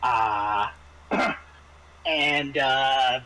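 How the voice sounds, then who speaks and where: A man narrating an improvised tabletop game as game master, often halting and repeating words as he searches for phrasing. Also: mid-range voice, bright, forceful tone, poor audio.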